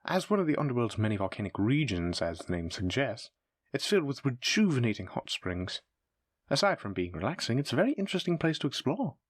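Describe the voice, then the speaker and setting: A man's voice: medium pitch, big pitch swings, fairly quick, slightly rough, no room sound, clean studio sound. A man playing a polite, eager demon front desk clerk in a scripted roleplay, with formal, effusive hospitality patter. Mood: cheerful, informative. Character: courteous, eager demon hotel receptionist, smooth salesman. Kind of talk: scripted roleplay audio addressed to the listener.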